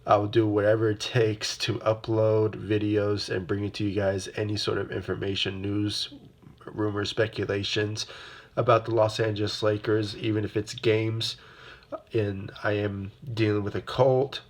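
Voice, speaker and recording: A young man hosting his own basketball fan channel, talking loosely with lots of fillers, his voice a bit rough from a sore throat. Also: fairly low pitch, flat delivery, normal speed. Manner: rambling, many fillers and repeated "obviously". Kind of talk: casual solo sports commentary.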